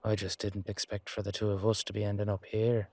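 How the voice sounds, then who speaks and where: A man playing a weary, down-to-earth knight guardsman in a fantasy audio drama, musing colloquially to his partner with hesitant pauses. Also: fairly low pitch, completely flat delivery, a little mellow, no room sound, natural voice. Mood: reflective, easygoing. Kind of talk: roleplay audio drama monologue addressed to a partner.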